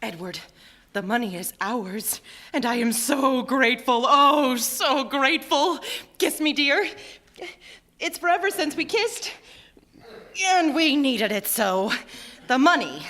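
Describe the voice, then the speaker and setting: An actress playing an effusive, insistent old wife in a theatrical radio drama. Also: female voice, sits high, animated, slow pace, pushed and bright, heavily acted. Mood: joyful, relieved. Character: Mary, emotional, nagging old wife.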